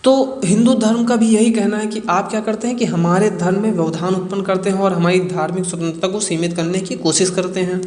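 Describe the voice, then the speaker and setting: A man's voice: high-pitched, a little mellow. Echoey man explaining like a teacher. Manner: didactic, asks rhetorical questions and answers them. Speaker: educational explainer presenter. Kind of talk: explanatory lecture on current affairs. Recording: echoey reverberant room.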